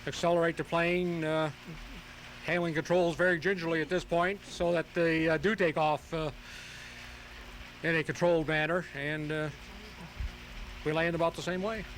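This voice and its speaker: A man being interviewed on radio as a hobbyist, explaining things step by step in a halting, methodical way. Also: a little high, flat delivery, fairly bright tone. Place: outdoors at a flying field. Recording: noisy home tape of outdoor radio broadcast.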